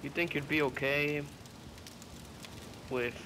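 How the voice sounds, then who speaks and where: A man playing a laid-back, wry survivor in a game roleplay, pausing as he talks. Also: on the high side, steady tone, noisy background. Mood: calm, musing. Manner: unhurried, pausing. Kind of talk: improvised video game roleplay.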